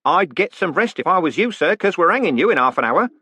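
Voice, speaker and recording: A man's voice: sits high, very animated, quick pace, sharp tone, slightly rough, no echo, voice pushed up, full character voice. A man voicing a cheerful, deferential prison warden who rambles on and calls everyone sir. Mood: chatty.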